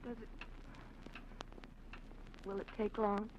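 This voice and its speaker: An actress playing a frightened young niece drawn into a murder, in 1940s radio-drama style, stammering and hesitant. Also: female voice, mid-range voice, little pitch movement, slow, darkish tone, highs cut off, slight background noise, natural voice. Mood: fearful.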